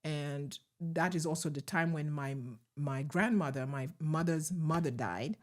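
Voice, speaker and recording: Low-voiced Ugandan-accented woman. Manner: reflective, measured, with soft laughs. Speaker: guest. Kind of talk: conversational interview storytelling.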